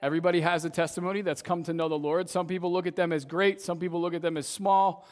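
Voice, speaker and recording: A young adult man, a youth pastor, preaching a casual sermon to a church congregation, his voice slightly raspy from shouting, often ending sentences with "right?". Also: sits high, flat delivery, fast. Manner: conversational, tags sentences with "right?". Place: church service, in front of a congregation.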